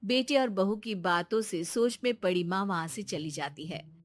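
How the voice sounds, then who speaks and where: A woman's voice: mid-range voice, normal speed, no room sound, studio quality. A woman reading scripted narration for a Hindi moral story. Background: background music.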